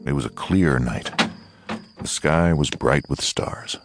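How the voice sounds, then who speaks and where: Very deep, gravelly male western narrator. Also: takes it slowly, over music. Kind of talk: scripted western audiobook narration.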